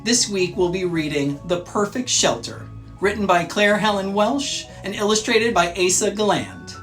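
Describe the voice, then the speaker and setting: A man hosting a children's read-aloud, narrating from a script in a measured, clearly phrased way. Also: high voice, a bit bright, faint music behind.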